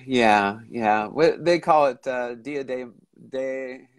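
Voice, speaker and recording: A man's voice: mid-range voice, no echo. An older man talks over a video call with a stuffy, congested nose. He rambles along casually, restarting his sentences often as he goes. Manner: rambling, halting, many restarts. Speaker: show host. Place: indoor room at home.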